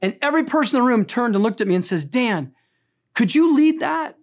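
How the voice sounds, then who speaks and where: A man in his fifties preaching a sermon, telling a personal story in an earnest way and slowing down for emphasis. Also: very high-pitched, animated. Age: 50s. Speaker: pastor.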